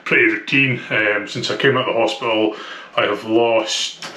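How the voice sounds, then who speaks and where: A man's voice: on the low side, steady tone, normal speed, sharp tone. A man with a thick Scottish accent talking casually and candidly in a personal vlog, with hesitant, conversational phrasing. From Scotland. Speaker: vlogger. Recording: echoey room.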